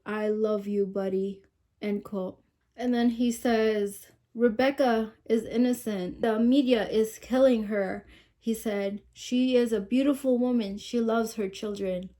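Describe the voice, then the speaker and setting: A woman's voice: a little high, dark tone. A sick woman with a stuffed nose, sniffling as she gives casual true-crime commentary, reading news quotes and asking viewers questions. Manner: chatty, asks viewers questions.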